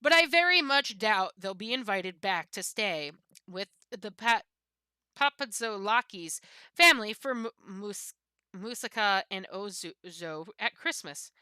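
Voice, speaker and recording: Haughty storybook cat, female reader, bright and forceful, dry close sound, scornful of common people, pompous delivery. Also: medium pitch, animated, measured pace, smooth, no room sound. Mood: sardonic. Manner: pompous, disdainful, theatrically emphatic. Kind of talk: dramatic book reading.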